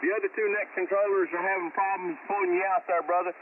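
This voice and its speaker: A man's voice: high voice, flat delivery, fast, a loud crowd. A man running a ham radio net, chatting in a folksy way over shortwave. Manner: folksy, repeats "brother" and "there". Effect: heard over shortwave radio. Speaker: amateur radio net controller. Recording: tinny narrow radio band, loud static, distant.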